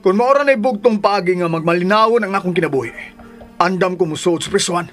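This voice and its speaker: Defiant, exasperated Filipino man, Cebuano radio drama, high-pitched, argumentative, faint music. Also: quick pace, fairly bright tone. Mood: resolute, exasperated. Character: exasperated, defiant man torn between two women.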